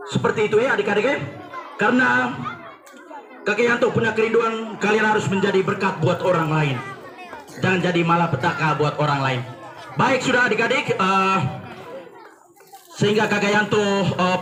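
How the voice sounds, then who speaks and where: Eastern indonesian guy talking to school kids, pretty high voice, echoey room, repeats his points simply. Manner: addresses children simply, repeating key phrases. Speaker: storyteller speaking to schoolchildren. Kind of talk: moral talk to children. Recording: echoey room with children's crowd noise.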